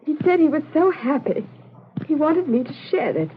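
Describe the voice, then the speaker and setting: Distraught daughter, halting and pleading, 1940s radio drama style, very narrow tinny sound with faint noise. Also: female voice, sits high, big pitch swings. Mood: halting, tearful. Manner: pleading, halting, repeats herself. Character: distraught, frightened daughter confessing to her stern father.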